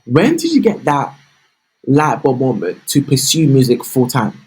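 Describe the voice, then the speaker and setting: A male host with a slightly husky, breathy voice moves through his prepared interview questions. His delivery stays upbeat and full of praise for the guest. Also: medium pitch, huge pitch swings, a little slow, a little mellow, low-quality recording.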